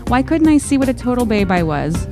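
A woman's voice: on the high side, big pitch swings, brisk, over music. Woman hosting a casual book podcast. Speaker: podcast co-host. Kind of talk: casual book club chat, reading listener letters.

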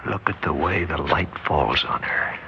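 Low-voiced American plotter, curt and tense, heavy noise, old radio drama. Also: male voice, animated, a little slow, vocal fry, exaggerated voice. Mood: cold, tense. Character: cold male conspirator who turns meek. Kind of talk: 1960s radio drama acting. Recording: dull, very noisy 1960s radio recording.

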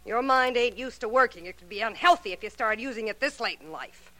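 An actress playing a tough, jealous, scheming dance partner, sarcastic and slangy, in a 1940s radio drama. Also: female voice, fairly high pitch, expressive, fairly quick, pushed and bright, low-quality recording. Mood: jealous, threatening.

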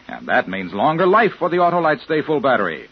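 An American man delivering a scripted, hearty sponsor pitch as a 1950s radio announcer. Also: huge pitch swings, brisk, breathy edge. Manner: hearty, salesman-like. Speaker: commercial announcer. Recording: muffled 1950s radio recording.

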